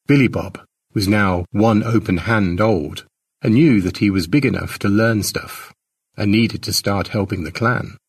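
A man narrating a short story as an expressive audiobook reading. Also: lowish voice, husky, recorded from a distance.

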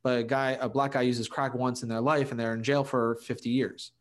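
This is a man with a mid-pitched voice, chatting casually as a podcast co-host. He is emphatic and opinionated. His explanations ramble, circling back as he makes his point. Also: flat delivery, a little fast.

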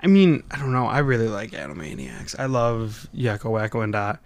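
A man's voice: on the low side, very animated, no room sound. A young man with a Wisconsin accent, co-hosting a podcast in casual, rambling chat, often restarting his thoughts. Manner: rambling, self-correcting, quick to laugh. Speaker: podcast co-host.

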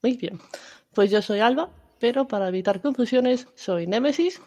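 Galician woman, podcast host, chatty, mellow timbre. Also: a little high, moderate pace. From Galicia, Spain. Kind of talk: casual book club chat.

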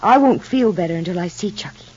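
A woman's voice: on the low side, animated, fast, a little mellow, husky. An actress playing an anxious, devoted mother in an old radio soap opera, earnest and brooding. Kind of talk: 1940s-50s radio soap opera acting. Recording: muffled, dull old radio recording.

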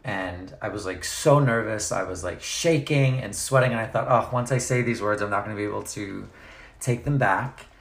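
A man's voice: lowish voice, normal speed, reverberant. A man interviewing a guest in a chatty, curious way, prompting him with questions. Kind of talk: casual interview conversation.

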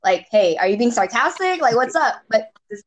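A woman's voice: fairly high pitch, moderate pace. A young woman from Singapore with an American accent that carries Singaporean traces, chatting expressively as a podcast guest and laughing often. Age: young adult. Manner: lively, expressive, laughs often, swears casually. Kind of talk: casual chat.

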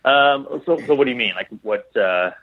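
A man's voice: medium pitch, expressive, moderate pace, no room sound, narrow, tinny audio. A man giving casual interview answers as a company founder, thinking aloud and often repeating his words as he goes. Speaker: guest, clothing company founder.

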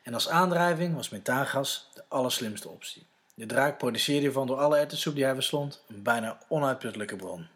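A man's voice: medium pitch, fairly quick, a bit bright, slight background noise. A man with a Netherlands Dutch accent, narrating a scripted story for children.

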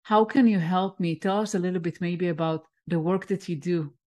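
A woman's voice: on the low side, moderate pace, a little mellow. A woman hosting a podcast, interviewing in a casual, conversational way.

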